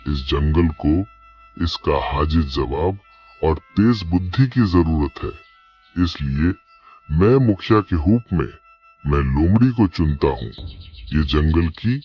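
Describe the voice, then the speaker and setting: A man's voice: rumbling low, lively intonation, slow pace, darkish tone, slightly rough, soft background music, full character voice. A man playing an aging lion king in a cartoon story, stately and trusting, with weighty regal speech. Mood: approving, trusting. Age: older. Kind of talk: cartoon story character acting.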